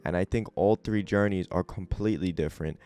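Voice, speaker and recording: A young man hosting a casual review video, talking in a relaxed, rambling way with many fillers, his voice a little raspy because he just woke up. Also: low-pitched, a little fast. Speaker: anime and manga video host.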